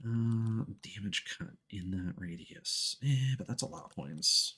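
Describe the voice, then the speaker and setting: A man with a fairly low voice streams a game with casual commentary. His pitch swings around a lot as he talks. He thinks aloud, often halting as he works through what he sees. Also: lively intonation, pushed and bright. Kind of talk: casual live gameplay commentary.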